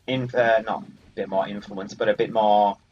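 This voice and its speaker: A man's voice: on the low side, fairly even intonation, normal speed, breathy edge. A man giving casual, rambling let's play commentary on a video game. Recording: muffled sound, game music behind. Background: video game music.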